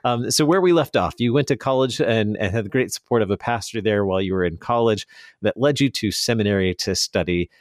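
A man's voice: fairly low pitch, fairly quick, no echo, clean studio sound. An American man hosting a radio interview conversationally, repeating words as he frames his thoughts. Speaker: radio show host.

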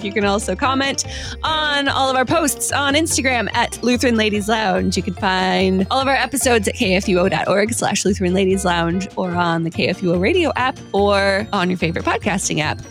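A woman's voice: mid-range voice, fairly quick, fairly bright tone, soft background music. A woman hosting a podcast, moving between casual chat and sign-off announcements. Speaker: podcast host.

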